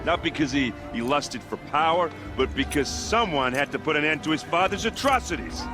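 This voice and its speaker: A blunt, colloquial tribesman, voiced by a man, pleads with a hostile crowd in an animated, swinging voice that sits a little high. Game music plays underneath this video game scene. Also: moderate pace, close to an everyday voice. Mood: earnest. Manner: plainspoken, colloquial, earnest pleading. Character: blunt, friendly adult man from a foreign tribe. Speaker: envoy speaking to a crowd.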